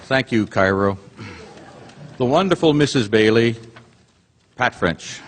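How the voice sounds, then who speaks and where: A man's voice: mid-range voice, expressive, slow pace, over a noisy crowd. Chatty man emceeing in a theater. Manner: chatty, joking, ad-libbing. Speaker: stage emcee introducing the cast. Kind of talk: live theater cast introductions. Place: theater stage before a live audience. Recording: long hall reverb, loud audience and noise. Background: audience noise and laughter.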